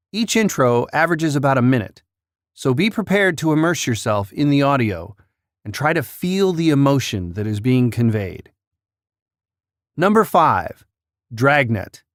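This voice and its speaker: A man's voice: medium pitch, no echo, clean studio sound. A man reading scripted narration as the host of a countdown list.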